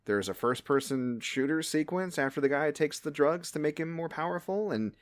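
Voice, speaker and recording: A man's voice: a little high, moderate pace, no room sound. A man in his late thirties chatting casually about movies as a podcast co-host. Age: late 30s.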